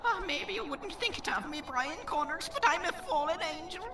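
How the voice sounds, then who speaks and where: A woman's voice: very high voice, animated, normal speed, noisy background. A woman playing a cheeky, cheerfully scandalous little male fallen angel in an audio drama. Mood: cheeky, rueful.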